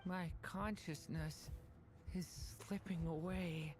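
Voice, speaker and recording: A frail, confused young boy from a fantasy game, acted by a performer. He speaks slowly and haltingly, pleading as if lost. Music plays clearly underneath. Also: mid-range voice, fairly even intonation, natural voice. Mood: fading, weak. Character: frail, confused young dragon boy Durin, weakened and searching. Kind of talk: dramatic video game voice acting.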